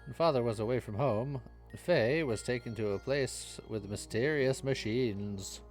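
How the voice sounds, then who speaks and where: A man's voice: mid-range voice, measured pace, darkish tone, faint music behind. A man reading video game story text aloud on a live stream, mixing dramatic narration with joking casual chat.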